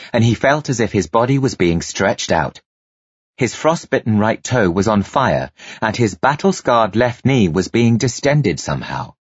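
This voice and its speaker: A man's voice: on the low side, breathy edge, no room sound, muffled recording. A man reading scripted story narration as an audiobook narrator, with dramatic delivery.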